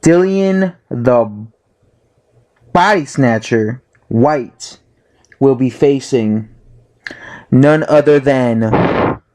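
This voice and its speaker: Hoarse man rambling about boxing. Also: mid-range voice, drawn-out words, pauses often, darkish tone. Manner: rambling, full of fillers and self-corrections. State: hoarse from being sick. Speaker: boxing commentator vlogger. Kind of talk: casual opinion monologue.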